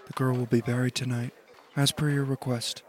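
A man's voice: medium pitch, level tone, moderate pace, close to an everyday voice. An actor playing a meek, consoling male priest, deferential and hesitant, in a radio drama. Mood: gentle, deferential.

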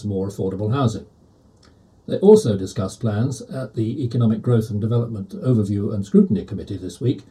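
Man reading aloud with a dark, lively voice. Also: mid-range voice, rough recording. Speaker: volunteer article reader. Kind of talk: reading an opinion article aloud.

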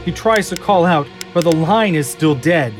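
A man reading stage directions as narrator of a fan audio drama in an even, descriptive way. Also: a little high, moderate pace, music in the background.

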